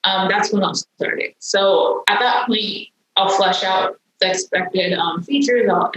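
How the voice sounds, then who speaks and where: A young woman, a software engineer and guest, explaining things in a casual interview with frequent "um" fillers; her home language is Hindi. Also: medium pitch, a little slow, echoey room, poor audio. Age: young adult.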